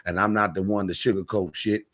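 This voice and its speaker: A man's voice: sits low, flat delivery, fairly quick, a bit bright. An American man talking casually as a radio guest, plain-spoken and slangy, repeating phrases for emphasis. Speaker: stage playwright and director, radio guest.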